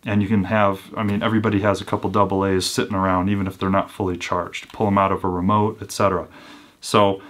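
Low-voiced man in casual review mode. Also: little pitch movement, fast talker, a bit bright. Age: 30s. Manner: informal, conversational, checks in with "right?". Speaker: host of a preparedness gear channel. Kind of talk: casual product review to camera. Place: small indoor room with built-in shelves.

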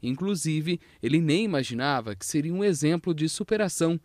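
A man's voice: on the high side, animated, fairly quick, a little mellow, dry recording. A young man with a Brazilian accent, a TV reporter narrating a scripted news feature.

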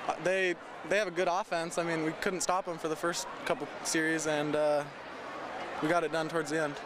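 A teenage football player giving halting postgame answers on a stadium field at night, with many fillers. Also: male voice, on the high side, level tone, crowd noise. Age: teens. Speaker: high school football player. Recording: outdoor stadium noise.

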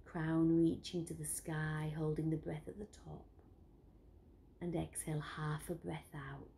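A woman guides a breathing meditation at a very slow, unhurried pace. Her voice is dark and mellow with a gravelly edge, often falling to a hushed whisper. Faint ambient noise sits behind her. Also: sits low, level tone. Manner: slow, soft, soothing instructions with frequent whispered phrases.

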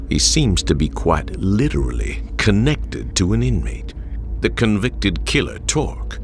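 A man's voice: sits low, background noise, heavily acted. A male actor playing a learned man who narrates his journal of monsters in a video game, formal, grave and ominous. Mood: awed, foreboding.

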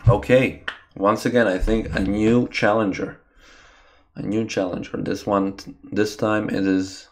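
A young man from Croatia, streaming live chess from a small room and thinking aloud about moves as he chats with viewers through a webcam mic. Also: on the low side. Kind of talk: live game commentary with chat.

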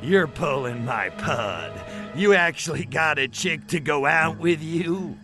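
Cocky american alien dude from a video game, cynical wisecracks, really animated voice, music behind. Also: male voice, a little high, slow, heavily acted. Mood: teasing, smug. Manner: sardonic, slangy American wise guy. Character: brash, wisecracking, cynical male alien invader. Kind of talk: video game cutscene acting.

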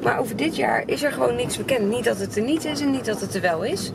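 A woman's voice: a little high, lively intonation, quick pace, creaky, heavy background noise. A woman in her thirties chatting to the camera in a casual family vlog, at home and in the car. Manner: chatty, talking to camera while busy. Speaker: vlogger. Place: home kitchen, then car and windy outdoors. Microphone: camera at arm's length.

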